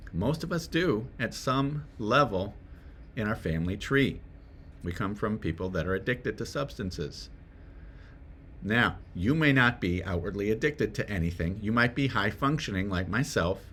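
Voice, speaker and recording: A man's voice: on the low side, fairly bright tone, a little hiss or hum. A man giving a conversational self-help talk to the viewer, often asking rhetorical questions and checking in with "right?". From Swedish family roots. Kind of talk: direct-to-camera motivational talk.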